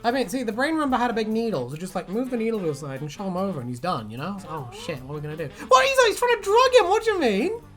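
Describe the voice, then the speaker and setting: A man's voice: high-pitched, sing-song, rapid-fire, hardly any pauses, a bit bright, faint music behind. A man hosting a casual chat in a rambling, joking way with crude humour. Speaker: host.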